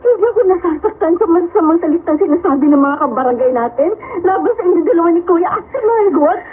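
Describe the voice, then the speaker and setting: A principled young sister in a radio drama, with a very high voice, pleading and close to tears. The sound is thin like a phone line, with a faint hiss. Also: female voice, lively intonation, fast talker. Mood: tearful. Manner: pleading, tearful. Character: young woman, principled, scolding her corrupt brother.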